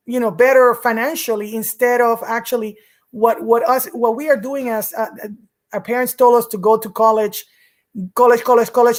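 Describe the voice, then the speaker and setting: A woman from Rico, hosting her own vlog and talking earnestly to camera, repeating words for emphasis. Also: on the high side. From Puerto Rico. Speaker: vlog host. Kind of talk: casual talk to camera.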